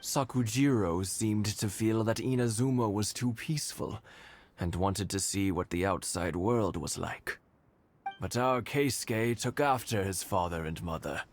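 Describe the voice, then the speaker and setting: An actor playing a kindly elderly husband in a video game, reminiscing softly with small chuckles. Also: male voice, lowish voice, measured pace. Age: older. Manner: warm reminiscing storyteller, soft chuckles. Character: kindly elderly village husband, gentle and nostalgic. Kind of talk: game voice acting. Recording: studio game dialogue with loud music.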